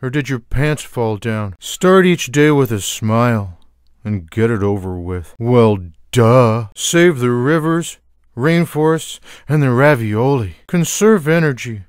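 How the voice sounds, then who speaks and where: A man voicing a lazy, sarcastic, gluttonous cartoon cat with deadpan, wry delivery for a video game. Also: mid-range voice, slow pace, clean tone, no room sound, clean studio sound. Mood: deadpan, smug. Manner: deadpan, wry one-liners. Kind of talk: video game character voice clips.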